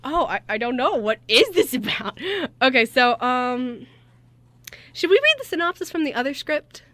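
A woman's voice: high-pitched, sing-song, normal speed, a bit bright, dry recording. A woman co-hosting a radio show with casual banter and informal, chatty storytelling. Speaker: radio show co-host and script writer.

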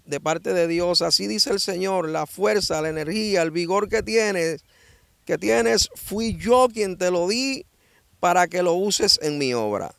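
A man's voice: sits high, measured pace, creaky, no room sound. A man in his sixties with a Puerto Rican accent, telling his story with energy and acting out the voices of people he quotes, outdoors on a handheld mic. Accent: Puerto Rican Spanish. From Dominican Republic. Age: 60s. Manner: lively storyteller who acts out quoted voices. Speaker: guest. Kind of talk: animated testimony. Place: outdoors under a tree in a grassy field. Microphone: handheld mic held by the interviewer.

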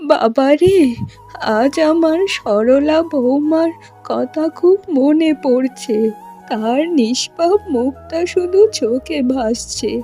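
A woman voicing a fretful, pleading older mother-in-law from a village in a cartoon, with plaintive, drawn-out exclamations. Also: sits high, dark tone. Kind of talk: cartoon voice acting.

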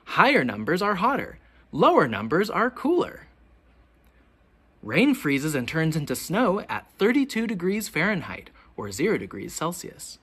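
A teacher reading a children's picture book aloud, with expressive, deliberate questions pitched to young children. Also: slightly rough. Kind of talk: children's storybook read-aloud.

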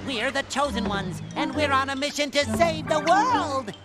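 An actor voicing a cheerful, childlike male sea sponge with a squeaky, nasal voice in a cartoon game. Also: animated, moderate pace, loud music behind the voice. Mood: excitable.

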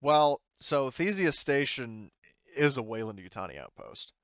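A man's voice: mid-range voice, sing-song, measured pace, smooth, no echo, lo-fi sound. A man running a tabletop game as game master, narrating off the cuff in an informal, explanatory way and swearing casually.

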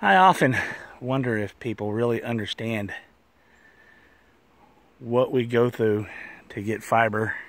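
A man telling stories in a casual, folksy, unhurried vlog style with a wry manner, recorded outdoors on a handheld phone. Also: mid-range voice. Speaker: vlogger, cable installer. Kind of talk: casual first-person storytelling vlog. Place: outdoors on a wooded trail.

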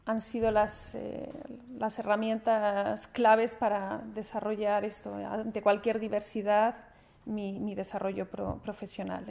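A woman's voice: mid-range voice, gravelly, darkish tone. A woman in her forties from Spain giving an earnest speech at a university ceremony, seated at a panel table in a large formal hall and speaking into a table microphone, with frequent restarts and fillers. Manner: gestures with her hands as she speaks. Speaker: honored alumna speaker.

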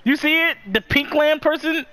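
A young man chatting casually with a friend while playing a game, loud, excitable and swearing often. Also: extremely high pitch, normal speed. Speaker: gamer streaming his play. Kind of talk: casual gaming chat with a friend.

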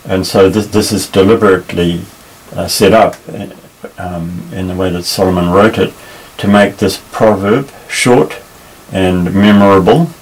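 A man teaching a Bible Hebrew lesson at a table, explaining patiently with many pauses and restarts. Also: deep voice, rough recording. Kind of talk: unscripted tabletop lesson, explaining while pointing. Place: indoors at a table.

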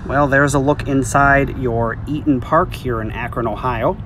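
A man's voice: medium pitch, normal speed, background noise. A man in his thirties, a vlogger casually walking through an empty restaurant and then tasting food, talking in an easygoing, chatty way with frequent ums. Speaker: vlogger exploring places and reviewing food. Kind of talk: casual walkthrough commentary and food review. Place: outside an empty restaurant, then inside a diner. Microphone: phone camera at arm's length.

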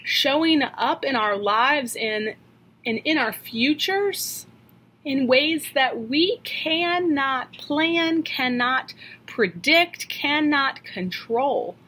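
A woman in her thirties preaching a sermon from a home office into a webcam, earnest and questioning, slowing down to stress key lines. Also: high-pitched, expressive, sharp tone, a little hiss or hum, low-quality recording. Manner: earnest, questioning, slows for emphasis. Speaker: pastor. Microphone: webcam at desk.

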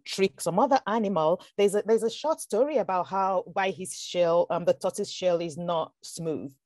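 A woman's voice: lowish voice, dark tone, dry recording, studio quality. A woman from Nigeria, an author speaking as a guest on a podcast, talking thoughtfully with frequent restarts and fillers. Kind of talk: conversational podcast interview.

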